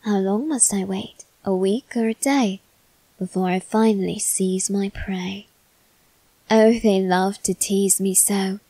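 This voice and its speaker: A woman's voice: mid-range voice, fairly even intonation, slow, warm tone, breathy edge, no room sound. A woman playing a teasing, haughty young male demon servant in a theatrical, mock-polite ASMR roleplay. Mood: sinister, wistful. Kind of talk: ASMR character roleplay addressed to the listener.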